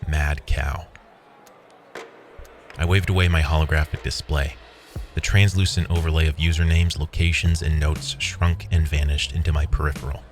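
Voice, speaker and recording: Very low male voice, weary wry programmer played by a man, scripted first-person storytelling, music underneath. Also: fairly even intonation, normal speed. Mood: wry, reflective. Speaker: narrator. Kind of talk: first-person scripted audio drama narration.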